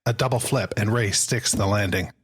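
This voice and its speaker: A man's voice: on the low side, moderate pace, slightly rough. A man narrating screenplay stage directions in a dramatic scripted style.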